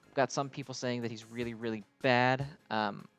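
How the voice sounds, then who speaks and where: A man's voice: mid-range voice, fairly even intonation, moderate pace, a bit bright, clear, resonant tone, soft background music. A man giving casual, unscripted game commentary as a video host, explaining things in a hesitant, rambling way.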